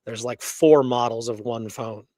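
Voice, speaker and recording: A man's voice: medium pitch, steady tone, measured pace, warm tone, no room sound. A man in his forties co-hosting a show, chatting casually in a colloquial, rambling way. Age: 40s. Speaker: podcast co-host. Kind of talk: casual chat.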